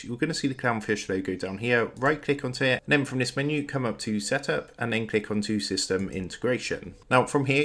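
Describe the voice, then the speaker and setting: A man with a fairly low voice hosts a how-to video. He narrates in a scripted instructional style, guiding the listener step by step. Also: fairly bright tone. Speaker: tutorial host.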